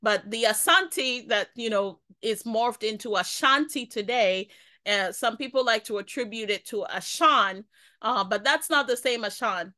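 A woman in her thirties joins a video call as a guest teacher. She explains in a halting way and fills her pauses. Her voice is bright and crisp, and the sound is dry and close. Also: on the high side, expressive, dry recording. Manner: explanatory, halting with fillers, smiles. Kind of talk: casual video-call discussion. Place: indoors, blurred background. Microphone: webcam on video call.

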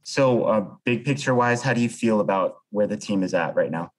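A man's voice: on the low side, animated, a little fast, rough recording. An American man working as a sports reporter, putting questions to players at a press conference.

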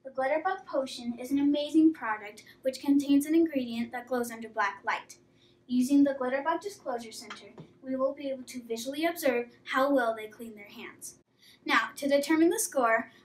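A woman's voice: sits high, far from the mic. Cheerful girl announcer in an echoey classroom. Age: child. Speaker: host of a kids' contest video. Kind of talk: scripted presenting. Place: classroom with whiteboard.

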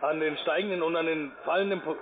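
A man working as a call centre manager, talking in a brisk sales style over the telephone. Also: fairly high pitch, background noise. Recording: phone line, busy background din.

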